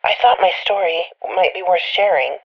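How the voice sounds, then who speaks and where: A woman's voice: lowish voice, moderate pace, gravelly. Hesitant woman caller, dull lo-fi sound. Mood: nervous, reluctant. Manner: hesitant, self-correcting. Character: reluctant, anonymous woman caller recounting losing her husband. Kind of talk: conversational phone-in monologue. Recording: dull lo-fi tipline recording. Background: faint music.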